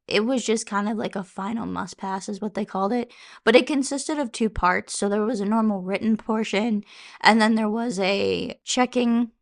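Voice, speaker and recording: A young woman speaks at an average pitch for a female voice, sounding a little congested. She chats informally like a vlogger catching viewers up. Her delivery is conversational and rambling, often correcting herself as she goes. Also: normal speed. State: slightly congested, stuffed up. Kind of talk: casual chat to camera, question-and-answer update.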